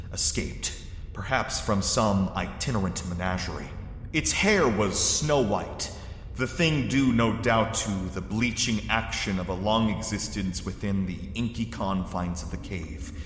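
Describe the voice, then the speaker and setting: Deep-voiced man narrating dark horror. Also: a little hiss or hum. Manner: grave, hushed to swelling suspense. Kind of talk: dramatic horror story narration. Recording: cave-like reverb with music beneath. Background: dark ambient music.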